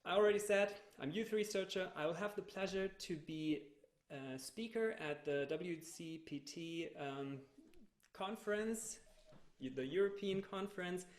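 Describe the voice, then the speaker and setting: Echoey German-accented man hosting, higher-pitched voice. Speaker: youth researcher hosting an online panel. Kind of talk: moderating a group video talk. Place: indoor room on a video call. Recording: echoey room on a video call.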